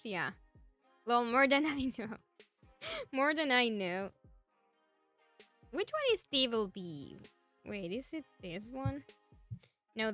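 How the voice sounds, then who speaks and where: A fairly high-pitched woman chats with her stream audience, giggling between phrases. Her voice is bright and very lively, rising and falling a lot. Soft music plays quietly behind her. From Argentina. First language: Spanish. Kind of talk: casual chat with live chat viewers.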